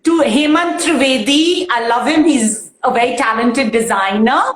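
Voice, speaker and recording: A woman's voice: on the high side, normal speed, reverberant. A woman guest chatting in an interview, emotional and bubbly, with lots of fillers. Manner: emotional and bubbly, laughs often, many fillers. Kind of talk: casual interview chat.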